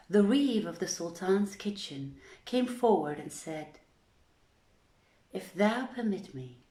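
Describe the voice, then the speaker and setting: Slow, echoey woman storyteller, lowish voice. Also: darkish tone. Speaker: storyteller, reader. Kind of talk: dramatic scripted storytelling, archaic literary narration. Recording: roomy, echoing voice recording.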